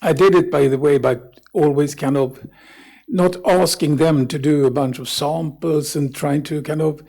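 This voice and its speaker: A man with a Swedish accent giving an informal account as a business representative, halting and correcting himself. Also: on the high side, steady tone, creaky, dark tone. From Sweden. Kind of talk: informal spoken account at a forum.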